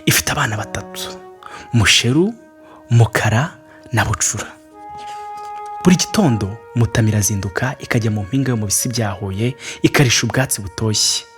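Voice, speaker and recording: A man's voice: lowish voice, fairly quick, a bit bright, soft background music. A man reading a storybook and addressing children directly as their storyteller.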